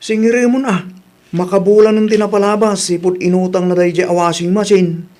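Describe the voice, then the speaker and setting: A man's voice: sits high, level tone, brisk, a little mellow, natural voice. A man playing a grumbling, money-minded village husband in a radio comedy drama, questioning and nagging.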